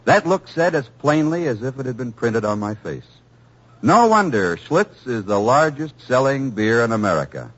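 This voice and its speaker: A man narrating a mid-century radio advertisement as a pitchman, in a wry, polished manner. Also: very animated, normal speed, fairly bright tone. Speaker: commercial pitchman. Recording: dull old radio broadcast recording.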